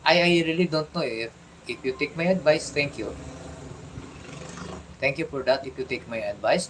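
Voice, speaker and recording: A middle-aged man from the Philippines with a Filipino accent, chatting on a livestream and giving fatherly advice in a halting way, repeating and restarting his words. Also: mid-range voice, a little hiss or hum, rough recording. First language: Tagalog. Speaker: vlogger. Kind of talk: casual livestream chat.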